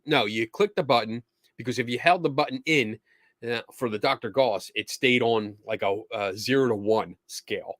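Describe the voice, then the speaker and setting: A man's voice: mid-range voice, normal speed, fairly bright tone, no room sound. Man losing his voice, dry close sound. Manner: rambling, many fillers and restarts, mocking quoted voices. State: losing his voice, clearing throat. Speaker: livestream host answering viewer questions. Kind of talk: casual live-stream chat.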